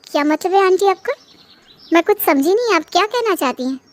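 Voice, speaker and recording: Woman acting young daughter-in-law, extremely high pitch, courteous, earnest, cartoon moral tale. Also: animated, brisk, noisy background. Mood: hurt puzzled.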